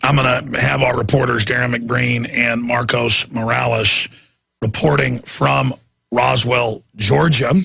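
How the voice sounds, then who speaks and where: A hoarse, raspy man hosting a radio talk show, straining his voice as he rants in indignant political commentary from a studio. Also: mid-range voice, level tone, unhurried, bright, forceful tone, dry recording. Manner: ranting, indignant.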